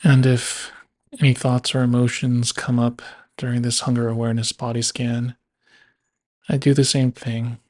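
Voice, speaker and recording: A man guiding a body scan meditation in a measured way, leaving long pauses between phrases. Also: medium pitch, level tone, a little mellow. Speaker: meditation guide.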